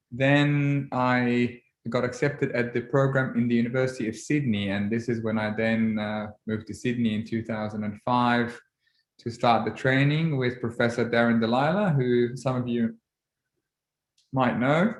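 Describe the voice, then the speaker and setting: A man in his forties, originally from Egypt, giving an orthodontics webinar lecture in a measured way with frequent ums and restarts. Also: medium pitch, dull audio. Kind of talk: relaxed presentation over slides. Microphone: computer mic on a video call. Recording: roomy video-call audio.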